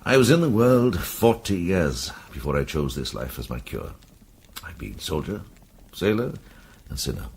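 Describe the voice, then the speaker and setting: Radio drama: elderly monk, very deep voice with wide, lively swings, wise, reassuring, gently probing; some background noise. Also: male voice, normal speed, vocal fry, exaggerated voice. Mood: reflective. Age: older. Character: kindly, wise, worldly old medieval monk.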